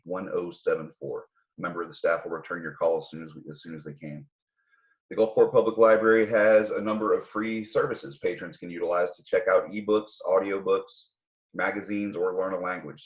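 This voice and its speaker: Male civic official, deep register, measured read-aloud public notice, slightly muffled recording. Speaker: mayor giving a public address. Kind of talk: formal public announcement read from prepared notes.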